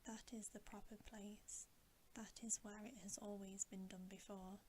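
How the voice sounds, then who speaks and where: A woman's voice: mid-range voice, flat delivery, a little slow, a little mellow, a little hiss or hum, lightly acted. A woman voicing a cold, scornful, commanding witch queen in a whispered storybook reading.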